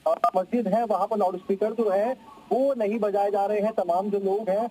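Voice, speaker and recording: A man's voice: high-pitched, fairly even intonation, normal speed, mellow, slight background noise, rough recording. A man reporting live from the field for the news and putting questions to local people.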